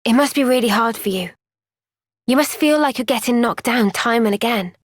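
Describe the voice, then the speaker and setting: A woman playing a young, thoughtful and caring warrior heroine in a video game, speaking in a measured, earnest, gentle way. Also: on the high side, no room sound, studio quality, close to an everyday voice. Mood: earnest, tender. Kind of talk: video game cutscene voice acting.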